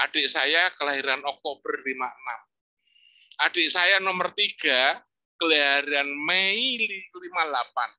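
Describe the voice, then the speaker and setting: A man, a psychology professor telling family stories in an informal lecture with lively rising emphasis and religious exclamations. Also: medium pitch. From Indonesia. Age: 60s. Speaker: psychology professor giving a talk.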